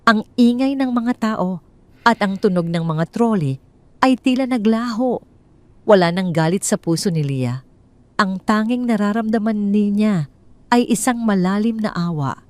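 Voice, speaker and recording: A woman with a Filipino accent narrating a scripted dramatic story in measured, sentimental phrasing. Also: mid-range voice, expressive, no room sound.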